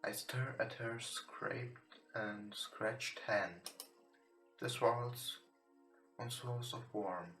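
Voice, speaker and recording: A man who is not a native English speaker, reading visual novel text aloud for a let's play, somewhat haltingly. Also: mid-range voice, sharp tone. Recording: roomy echo with music behind.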